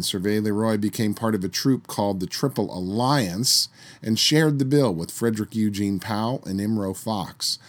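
A man with a lowish voice hosts a solo history podcast, a touch hoarse as he gets over a summer cold. He talks in a loose, chatty way, adding fillers and backing up to restart his sentences. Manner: conversational, with fillers and restarts while recounting history. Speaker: podcast host.

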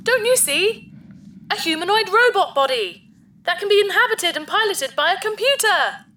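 A woman's voice: very high voice, expressive, moderate pace, pushed and bright, heavily acted. An actress playing an eager, easily hurt female spaceship computer in a sci-fi audio drama. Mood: eager, pleading. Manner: speaks of herself in the third person.

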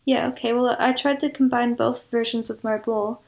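A woman's voice: on the high side, steady tone, normal speed, vocal fry, a little mellow, lo-fi sound. A woman speaking as an interview guest, explaining her creative work in a thoughtful, descriptive way. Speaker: guest composer and audio drama producer. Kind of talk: reflective interview talk.

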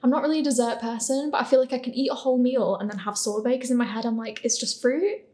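A woman's voice: fairly high pitch, fast talker, a little mellow. A woman, a podcast cast member, talking casually and informally among colleagues. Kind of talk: casual chat.

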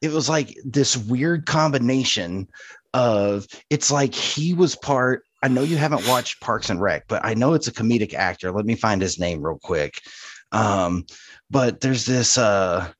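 A man's voice: medium pitch, lively intonation, a little slow. A man co-hosting a podcast, chatting casually about media. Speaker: podcast co-host.

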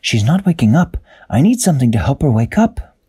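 A man doing an eager, high-voiced team medic. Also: big pitch swings, a little fast, dark tone, breathy edge. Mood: anxious.

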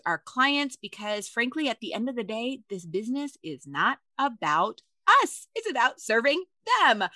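A woman hosting a podcast, chatty and quick to laugh, telling stories with quoted asides. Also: a little high, sharp tone, dry recording. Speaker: podcast host. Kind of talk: casual podcast interview.

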